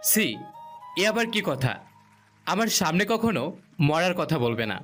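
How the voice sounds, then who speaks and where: A man's voice: a little high, big pitch swings, unhurried, fairly bright tone. A man playing a pushy, coaxing newlywed husband in a romantic audio drama. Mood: coaxing, earnest. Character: domineering, insistent young newlywed husband. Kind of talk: romantic audio story dialogue. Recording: music behind the voice.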